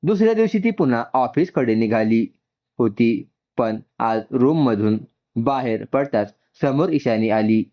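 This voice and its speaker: A man's voice: mid-range voice, animated, unhurried. Man narrating a story, dull recording. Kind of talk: scripted story narration, acting out dialogue. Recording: dull, muffled lo-fi sound.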